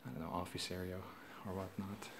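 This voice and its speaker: A low-voiced man walks through an empty house, plainly pointing out rooms as a realtor. The phone recording carries clear background noise. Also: flat delivery, fairly quick. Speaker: realtor giving a home tour. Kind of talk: casual walk-through narration. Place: empty house and its yard, indoors and outdoors.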